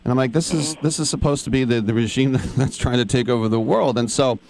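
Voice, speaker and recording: A man's voice: mid-range voice, brisk, a little mellow. A man hosting a radio interview, talkative and enthusiastic, asking long questions. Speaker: radio host. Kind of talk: interview conversation.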